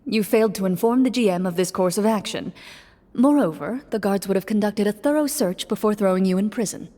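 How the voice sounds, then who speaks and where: An actress playing a composed female game master who narrates in a measured, matter-of-fact way. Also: mid-range voice, warm tone, in a large hall, natural voice.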